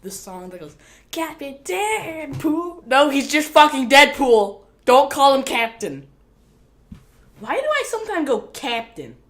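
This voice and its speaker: A young woman co-hosting a casual chat, blunt and lively, swearing freely. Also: sits high, a little slow. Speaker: co-host.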